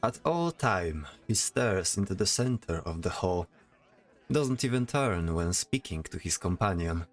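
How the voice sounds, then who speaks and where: A man's voice: low voice, animated, a little slow, faint music behind. A man narrating a scripted fantasy audio drama as its storyteller.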